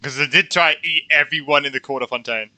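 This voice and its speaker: A man's voice: on the high side, fast talker, bright, forceful tone, no room sound. A man giving casual, reactive gameplay commentary as a streamer, talking informally. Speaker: gaming streamer. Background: game music.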